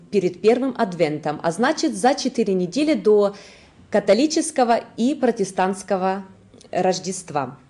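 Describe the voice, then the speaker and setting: A woman's voice: mid-range voice, mellow. A woman in her thirties reading scripted news in a formal manner as a presenter in a studio. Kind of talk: scripted newsreading. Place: indoor studio.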